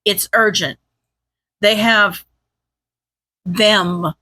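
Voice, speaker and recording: A woman's voice: medium pitch, a little slow, bright, forceful tone, dry recording. A woman voicing a tired, serious male hero on an urgent phone call in an audiobook-style story, speaking tersely. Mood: urgent, guarded.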